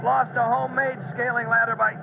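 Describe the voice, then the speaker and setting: Keen young rookie fireman, really high voice, earnest, noisy tinny old radio drama. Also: little pitch movement, gravelly. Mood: concentrated, determined. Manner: earnest, dutiful, brisk. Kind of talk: 1950s children's radio serial acting.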